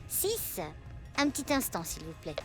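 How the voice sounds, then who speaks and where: A woman acts a courteous receptionist in a sci-fi audio comedy. Her voice is fairly high and very sing-song, professional and accommodating, with music underneath. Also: wildly swooping pitch, a little slow, heavily acted. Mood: polite, professional. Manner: courteous, professional customer-service tone. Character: polite, accommodating female rental-desk receptionist.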